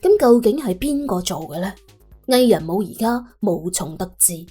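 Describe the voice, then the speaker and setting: Bright, animated Cantonese woman storyteller. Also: mid-range voice, moderate pace, slightly rough, soft background music. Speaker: audiobook narrator. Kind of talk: dramatic scripted story narration.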